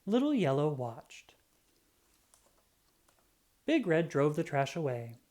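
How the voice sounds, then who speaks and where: A woman reading a children's book aloud in an animated, expressive storytelling style. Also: sits low. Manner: expressive, drawn-out emphasis on sound words.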